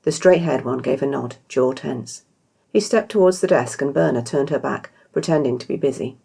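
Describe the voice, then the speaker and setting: A woman's voice: extremely low pitch, expressive, moderate pace, vocal fry, warm tone, breathy edge. A British woman reading her own fantasy story aloud as a scripted narration. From Britain.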